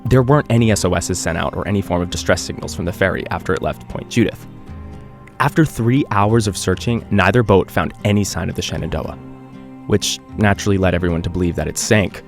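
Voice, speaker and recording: Earnest radio host, low gravelly voice, confessional mystery podcast narration over music. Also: male voice. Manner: earnest, measured, conversational storytelling. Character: earnest male island radio host recounting an eerie unsolved mystery. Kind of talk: scripted audio drama narration, confessional podcast.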